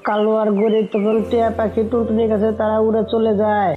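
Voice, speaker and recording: Quick, very monotone woman narrator. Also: a little high, phone-quality sound, soft background music. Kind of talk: scripted cartoon story narration.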